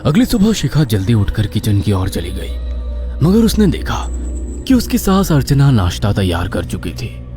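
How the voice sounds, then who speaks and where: Animated male horror story narrator. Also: fairly low pitch, normal speed, faint music behind.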